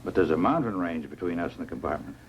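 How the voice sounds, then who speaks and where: Low-voiced commanding scientist in charge. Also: male voice, animated, quick pace, gravelly. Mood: sober. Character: grave authoritative male scientist in charge. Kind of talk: 1950s science fiction film acting. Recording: noisy old 1950s film soundtrack.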